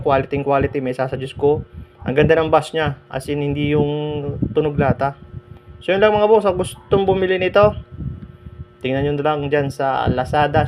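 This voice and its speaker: A man giving a casual, halting product review as a motorbike vlogger, with lots of fillers. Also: on the high side. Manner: relaxed, halting, many fillers. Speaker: moto vlogger reviewing an intercom. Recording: clear background noise.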